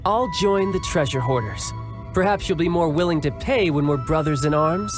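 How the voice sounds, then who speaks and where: An actor playing a suave, smug young fighter in a video game cutscene, talking in a smooth, mocking, politely condescending way. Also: male voice, a little high, moderate pace, music in the background, lightly acted.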